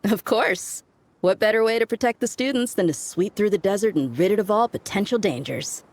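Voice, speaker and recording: A woman's voice: medium pitch, animated, fairly quick. A woman playing a breezy, cocky desert mercenary in a video game, talking in a casual, teasing way. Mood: playful, teasing.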